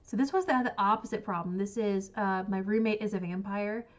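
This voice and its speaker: A woman's voice: medium pitch, fairly quick, lo-fi sound. Chatty woman with a cold. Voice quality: sniffly. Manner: rambling, chatty, full of likes and ums. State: congested from a cold. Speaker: book channel host. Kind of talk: casual livestream chat.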